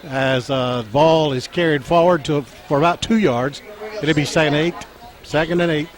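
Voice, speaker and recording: Scratchy-voiced small-town football announcer. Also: male voice, fairly high pitch, steady tone. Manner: halting, frequent fillers, rises when plays break open. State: scratchy, strained voice. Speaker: play-by-play announcer. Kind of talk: local high school football commentary. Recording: crowd and music under the voice. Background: crowd and band music.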